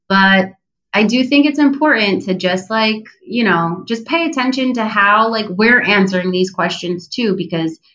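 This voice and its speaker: Casual American woman guest, chatty and quick to laugh, heard in a roomy, slightly dull recording. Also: mid-range voice, fairly bright tone. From New Jersey. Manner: chatty, many fillers, laughs easily. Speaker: podcast guest. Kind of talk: casual conversation.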